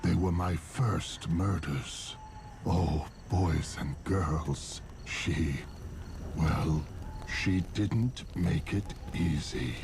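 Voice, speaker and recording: Very deep, gravelly serial killer. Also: male voice, noisy background, full character voice. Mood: brooding menace. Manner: slow, savoring, menacing monologue. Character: sadistic serial killer man, obsessed, menacing, brooding. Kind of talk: dark video game cutscene narration. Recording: loud music under the voice.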